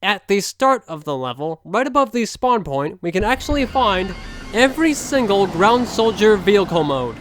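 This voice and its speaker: A man's voice: high voice, big pitch swings, moderate pace, fairly bright tone, slight background noise. A young man giving casual commentary as a gaming video creator.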